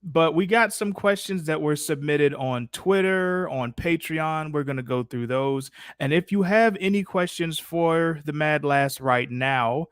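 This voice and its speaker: Casual male streamer, slightly high voice. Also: no room sound, studio quality. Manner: informal, slangy. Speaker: live stream host. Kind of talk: casual live stream chat.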